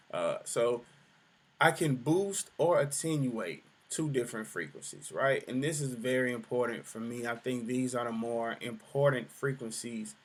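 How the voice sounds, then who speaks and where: Man explaining audio settings, relaxed. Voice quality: deep voice. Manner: relaxed explaining, many fillers. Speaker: music production tutor. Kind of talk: screen-share tutorial explanation.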